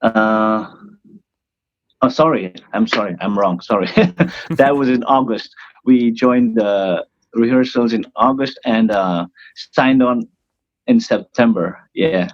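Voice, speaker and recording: Young guy from northern india, nepali native, low tired voice, dry muffled call sound. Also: slow pace, no room sound. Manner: hesitant, many uh fillers. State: tired, worn voice. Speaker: cruise ship band singer. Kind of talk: casual interview chat.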